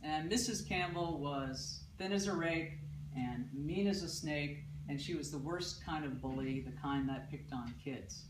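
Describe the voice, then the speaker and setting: A woman from Paterson, New Jersey, loudly telling a personal story on stage to a live audience. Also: sits low, measured pace, background noise. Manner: loud. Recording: roomy, distant mic, audible ambience.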